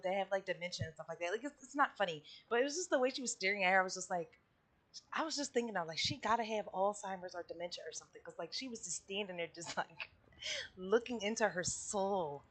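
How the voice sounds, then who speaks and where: Female game streamer, bright forward voice, mid pitch, casual giggly slang commentary. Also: lively intonation, quick pace. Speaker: streamer reacting to a game story quest.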